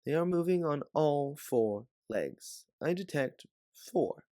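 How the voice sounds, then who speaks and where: A man's voice: on the high side, expressive, slow pace, mellow, no echo, pitched above the performer's own voice. A man voicing a precise, dry helmet AI companion that gives clipped, formal reports in a sci-fi audiobook. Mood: detached, analytical.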